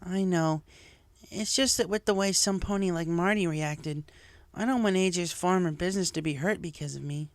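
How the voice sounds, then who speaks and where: A man's voice: sits high, moderate pace, no echo, pitched above the performer's own voice. Man plays tomboy girl pony, casual and guarded, slightly raised, subdued. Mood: downcast. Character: young tomboyish female pony, upset and guarded.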